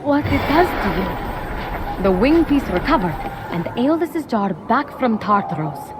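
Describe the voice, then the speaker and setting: An actress playing an earnest, plucky young female hero in a fantasy video game, expressive and wry. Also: on the high side, unhurried, background noise. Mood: subdued, reflective. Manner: expressive, wry, hesitant asides to herself. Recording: loud music behind voice.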